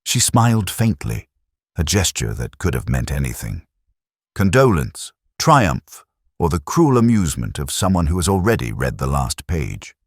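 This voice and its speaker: A middle-aged man narrating a first-person detective story in a measured, literary, brooding manner. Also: extremely low pitch, very animated, breathy edge, no room sound, studio quality.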